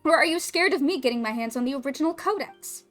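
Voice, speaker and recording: A woman's voice: high voice, animated, quick pace, a bit bright, soft background music. Woman doing a brash French rival chef. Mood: challenging. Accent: French, put on.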